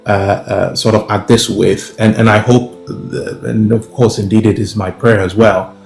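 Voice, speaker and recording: A young man with a Ghanaian accent answers interview questions in a low, deliberate voice. He pauses often, fills gaps with "uh" and "um", and restarts his phrases. The sound is roomy, with faint music playing underneath. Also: level tone, creaky, a little mellow. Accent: Ghanaian English. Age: young adult. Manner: measured, many fillers and restarts. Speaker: professor and guest.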